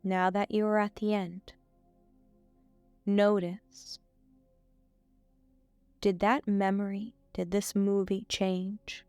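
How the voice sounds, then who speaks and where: A woman reading a scripted guided meditation in a soothing, measured way with frequent pauses. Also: mid-range voice, little pitch movement, a little mellow, clean tone, dry recording, soft background music.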